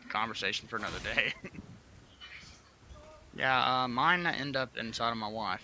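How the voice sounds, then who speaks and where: A man in his twenties chatting casually with viewers during a livestream, relaxed and swearing freely. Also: mid-range voice, normal speed, a little hiss or hum. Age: 20s. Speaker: streamer.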